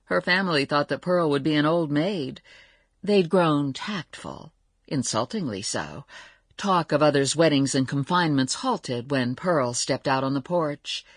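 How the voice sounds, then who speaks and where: A wry narrator of a radio family saga. Her voice is very low and husky, with animated pitch, recorded dry. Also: a little slow, no echo. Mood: wry, storytelling. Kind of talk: scripted dramatised narration.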